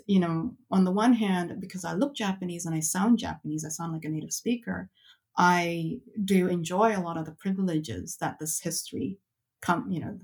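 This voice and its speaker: A woman guest on a podcast, telling a personal story in a reflective, hesitant way, with fillers and restarts. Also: lowish voice. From Canada.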